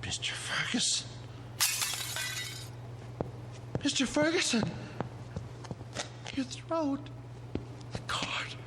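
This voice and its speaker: An actor playing a devoted, lonely museum caretaker, meek but fervent, in a vintage television drama with emotional, deferential delivery. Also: male voice, very high voice, sing-song, slow pace, whispered, heavily acted, poor audio. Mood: shocked.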